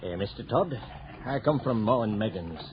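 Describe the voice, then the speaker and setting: An actor playing a reserved company messenger in an old-fashioned radio drama, polite, formal and hesitant. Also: male voice, on the low side, expressive, normal speed, natural voice. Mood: grave, polite. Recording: dull lo-fi radio drama recording.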